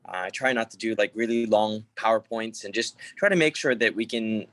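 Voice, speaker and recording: A man's voice: lowish voice, brisk, fairly bright tone, no echo. A young man from Hong Kong giving a casual conference talk over a video call, informal and full of fillers and restarts. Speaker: conference speaker. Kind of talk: casual online presentation.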